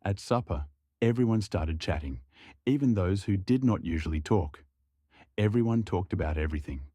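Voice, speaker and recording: A man's voice: sits low, expressive, normal speed, mellow, slightly rough, no room sound. A man reading a folk tale as scripted storytelling narration.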